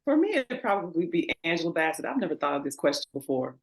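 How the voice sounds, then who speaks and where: A woman's voice: fairly low pitch, sing-song, fast. A woman, a dentist guest on a podcast panel, forthright and emphatic. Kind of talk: casual panel chat.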